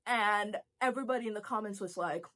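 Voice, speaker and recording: A young woman from India, a vlogger chatting casually to camera, animated and chatty, laughing often and calling people "bro". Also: mid-range voice, fairly quick. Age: young adult.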